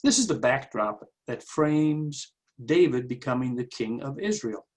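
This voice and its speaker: A man giving a prepared introduction to a Bible story in a measured, explanatory way, as a church reader. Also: mid-range voice, lively intonation.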